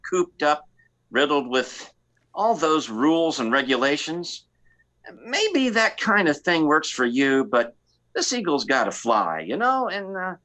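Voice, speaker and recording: A man playing a cocky, free-spirited space pilot in a comic radio play, rambling and repeating himself in halting bursts. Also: mid-range voice, bright, forceful tone. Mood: reluctant, defiant.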